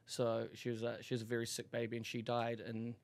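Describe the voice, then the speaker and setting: Gravelly New Zealand man telling stories. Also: mid-range voice, monotone, brisk. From Auckland, New Zealand. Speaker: podcast guest. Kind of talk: casual, candid personal storytelling.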